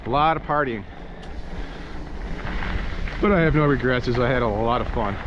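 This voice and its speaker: Rambling New man riding a bike. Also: medium pitch. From New Hampshire, USA. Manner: rambling, easygoing, frequent restarts. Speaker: vlogger. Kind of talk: casual ride-along narration. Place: outdoors on a rail trail, riding a bike. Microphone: action camera on the rider, wind buffeting. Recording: muffled audio with heavy wind noise. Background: wind rushing past.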